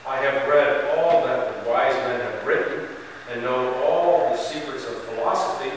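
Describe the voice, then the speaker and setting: A man's voice: medium pitch, unhurried, close to an everyday voice. A man playing a lovesick, despairing young student in a mournful, theatrical storybook reading. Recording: very echoey hall, distant handheld camera, noisy.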